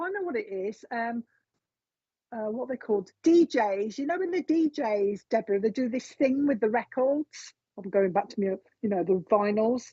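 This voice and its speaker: A woman's voice: fairly high pitch, mellow, breathy edge, no echo. A woman giving a psychic reading, chatting casually and relaying messages with lots of fillers and asides. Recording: dull, dry lo-fi sound.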